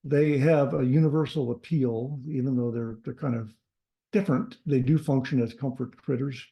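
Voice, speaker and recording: A man's voice: medium pitch, steady tone, moderate pace, creaky, lo-fi sound. A man presenting to his carving club over a video call, talking loosely with many fillers and restarts.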